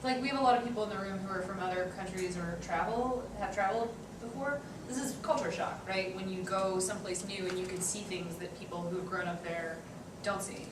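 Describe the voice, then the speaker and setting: A woman's voice: mid-range voice, brisk. A woman co-teaching a class, explaining an idea eagerly to the students. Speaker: co-instructor. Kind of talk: classroom discussion. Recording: distant echoey classroom with noise.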